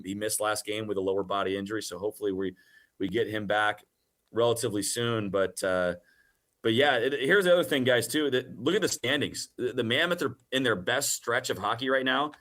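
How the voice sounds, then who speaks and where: Guy doing a sports radio guest spot, lowish bright punchy voice, emphatic and chatty about the game. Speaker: sports radio guest, hockey play-by-play announcer. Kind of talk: casual sports radio interview.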